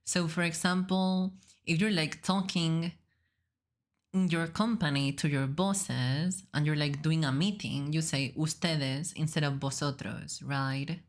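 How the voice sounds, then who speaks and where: A woman's voice: low voice, steady tone, a little slow. A woman from Spain with a Spanish accent, speaking casually and playfully as a streamer reacting to a video, giggly and free with swearing. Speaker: VTuber streamer.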